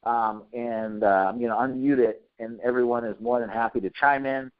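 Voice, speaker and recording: A man's voice: medium pitch, steady tone, normal speed, clean tone, no room sound. A man hosting a conference call, speaking casually and a little hesitantly as he moderates. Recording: dull lo-fi conference phone line.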